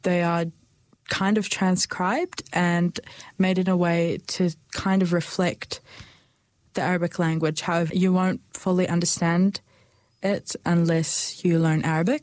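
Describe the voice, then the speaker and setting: A young woman, speaking earnestly and thoughtfully about her heritage, with small pauses and restarts. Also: fairly low pitch, a little mellow, no room sound. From New Zealand. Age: young adult. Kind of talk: personal reflection.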